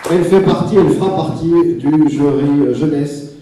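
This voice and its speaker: A man with a fairly high voice hosts an awards ceremony, lively and tripping over his words now and then. He sounds far from the mic in a big echoing hall, with a faint audience behind him. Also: moderate pace, heavy background noise. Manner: lively, stammers and repeats words. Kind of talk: live ceremony emceeing.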